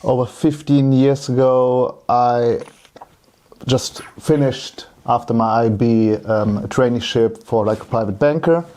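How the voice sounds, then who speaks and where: A man's voice: medium pitch, fairly even intonation, unhurried, mellow. A man in his thirties with a German accent, telling his story casually as an interview guest. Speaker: podcast guest, entrepreneur.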